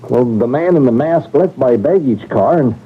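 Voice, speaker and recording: An actor playing a frightened baggage master with a husky voice, stammering and agitated, in old-time radio drama style. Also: male voice, mid-range voice, animated, normal speed, slight background noise, lightly acted. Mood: nervous, flustered. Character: frightened, flustered male baggage master on a train. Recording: tinny old radio recording.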